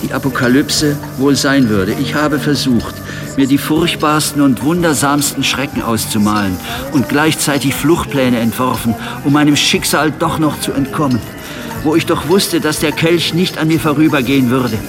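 An actor playing a bewildered, grumpy old patient in a radio play, hesitant and plaintive. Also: male voice, mid-range voice, moderate pace, music in the background, close to an everyday voice. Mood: gloomy. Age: older. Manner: hesitant, stammering, indignant, plaintive. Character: bewildered, grumpy old male psychiatric-clinic patient dragged onstage. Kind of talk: radio drama acting.

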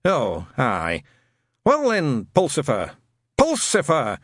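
Man doing a gruff, barking old sergeant. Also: huge pitch swings, slow, no echo, studio quality, exaggerated voice. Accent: shifting British regional. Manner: rumbling, barking, testy. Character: gruff, testy older man, a shouting drill-sergeant type.

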